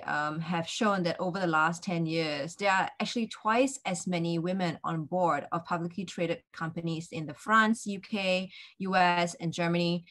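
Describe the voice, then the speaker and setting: A woman moderating a panel on a video call, speaking in a measured way with frequent fillers. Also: lowish voice.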